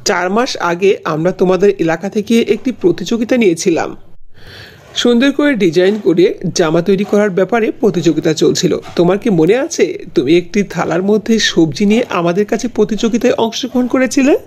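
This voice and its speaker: A man's voice: high voice, moderate pace, darkish tone. A man voicing a polite contest official in a cartoon, explaining things courteously in announcement style. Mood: congratulatory. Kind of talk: Bengali fairy-tale cartoon dubbing.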